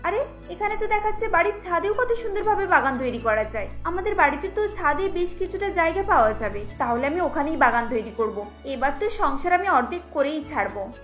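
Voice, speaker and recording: A woman acts out a harried cartoon housewife with a high, bright voice. She is plaintive and emphatic, a thrifty mother, with music behind her. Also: big pitch swings, a little fast, full character voice. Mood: excited. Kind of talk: cartoon dialogue acting.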